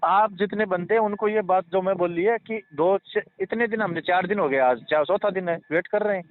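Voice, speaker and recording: A man's voice: high voice, a little fast. A man explaining and reassuring in a rambling, repetitive, earnest way during a casual phone conversation. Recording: tinny phone call with faint noise.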